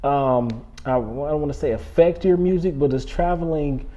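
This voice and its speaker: A man's voice: a little high, moderate pace, dark tone, lo-fi sound. A man hosting a casual interview, agreeable, often interjecting and repeating his phrases. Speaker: interview host.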